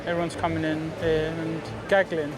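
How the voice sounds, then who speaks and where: A man's voice: on the high side, flat delivery, brisk, music in the background. A man working as a police officer, chatting bluntly and jokily on patrol. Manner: jokey, blunt. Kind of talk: casual chat on patrol.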